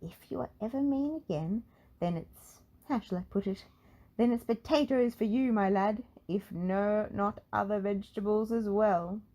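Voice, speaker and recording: A woman voicing a wordy, stern male wizard from a children's storybook, with long-winded, drawn-out phrasing. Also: medium pitch, warm tone, pitched above the performer's own voice, natural voice. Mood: pompous, stern.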